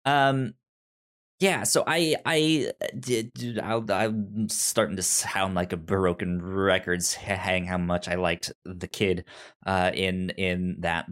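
A man co-hosting a casual film discussion, halting and often searching for his words. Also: sits low, fairly bright tone. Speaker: podcast co-host.

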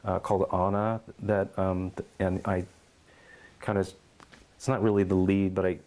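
A middle-aged man in an oral history interview at his home, telling lively stories and acting out quotes. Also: sits low, a little slow. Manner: animated storyteller, acts out quotes and meows.

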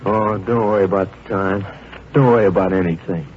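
An actor playing a tender young army officer in love, in a 1940s radio drama style. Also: male voice, deep voice, fairly quick, noisy background, close to an everyday voice. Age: young adult. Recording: dull old radio recording with loud music. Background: music.

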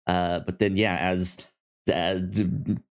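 A man hosting a casual podcast discussion, speaking in a halting way with repeated words. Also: low voice, flat delivery. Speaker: podcast host.

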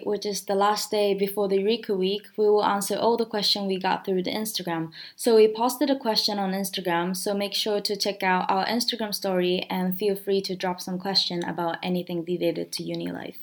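A young woman from Japan hosting a casual student podcast, friendly, with frequent fillers and sentences that trail off. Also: mid-range voice. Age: young adult. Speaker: podcast host.

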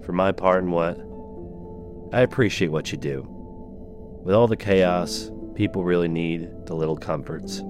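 A man's voice: low-pitched, unhurried, pauses often. A man playing a curt, commanding spaceship captain in an audio drama, speaking in clipped, terse lines. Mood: guarded, firm. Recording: music under the voice.

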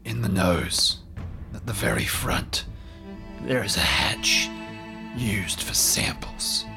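A man's voice: on the low side, animated, vocal fry, music in the background, full character voice. A man voicing a dying, mold-covered undead creature in a strangled, whispery voice, speaking in solemn, cryptic fragments for an improvised audio drama. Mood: grave, cryptic. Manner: halting, cryptic short phrases.

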